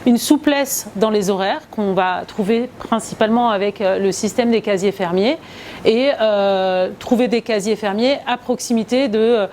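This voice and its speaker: A woman talks in an explanatory interview as a local project representative. Her voice sits at a middle pitch, with faint ambient noise behind her. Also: a little slow, a little mellow.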